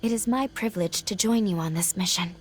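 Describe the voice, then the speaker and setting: An actress plays a young woman bound by duty in a video game cutscene. Her voice is soft and breathy at a medium pitch, with earnest, formal phrasing. Music plays clearly behind her. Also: fairly quick, natural voice. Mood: warm, earnest. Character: gentle, dutiful young woman made to be a sacrificial vessel.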